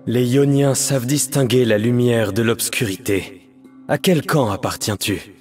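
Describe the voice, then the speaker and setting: An actor playing a melancholic painter mage torn between light and darkness, speaking solemn, measured, poetic lines for a video game. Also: male voice, medium pitch, a little mellow, close to an everyday voice. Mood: grave, wistful. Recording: music behind the voice.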